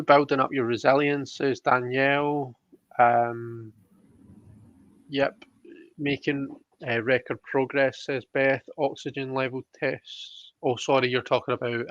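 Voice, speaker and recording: Slow-paced man in a dull, roomy recording. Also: medium pitch, creaky, a bit bright. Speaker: host of a live card reading stream. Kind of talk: casual live stream, reading out viewer comments. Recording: dull lo-fi sound, roomy echo.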